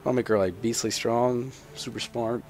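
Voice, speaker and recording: A man's voice: mid-range voice, steady tone, moderate pace, darkish tone, faint music behind. A young man giving casual gameplay commentary in a sweary, irreverent, rambling manner. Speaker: gamer, let's player.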